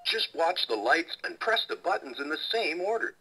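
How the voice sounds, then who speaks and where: A man's voice: on the high side, big pitch swings, moderate pace, rough recording. A man voicing a cheerful children's learning toy, encouraging and instructional, played through a toy speaker. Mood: cheery, encouraging. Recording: toy speaker playback with music behind.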